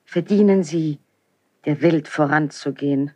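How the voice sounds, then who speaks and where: A woman's voice: low-pitched, a little slow, dark tone, no room sound. An actress playing a dignified, fervent young queen with a solemn, declamatory delivery in a classical radio drama.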